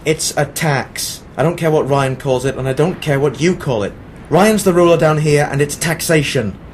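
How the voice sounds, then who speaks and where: A man's voice: on the high side, normal speed, slight background noise, close to an everyday voice. A man playing a sarcastic, defiant newspaper reporter from the 1940s in an amateur radio play, dry and sardonic. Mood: indignant.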